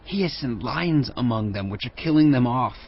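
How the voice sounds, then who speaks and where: A man's voice: medium pitch, expressive, moderate pace, slightly rough. An actor playing a male messenger urgently and formally reporting trouble to a king in a scripture drama. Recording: dull, muffled audio.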